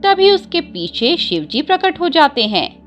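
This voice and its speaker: A woman's voice: high-pitched, big pitch swings, measured pace, faint music behind. A woman narrating a scripted devotional story as a storyteller.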